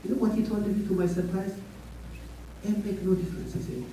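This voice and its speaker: Ethiopian man, mellow dark tone, fairly high, earnest rally speech, distant in a reverberant hall. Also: fairly even intonation, fairly quick. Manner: earnest, rallying, repeats for stress. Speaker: speaker at a community meeting. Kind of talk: impassioned public speech. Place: large echoey meeting hall. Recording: echoey hall, mic far off, faint hiss.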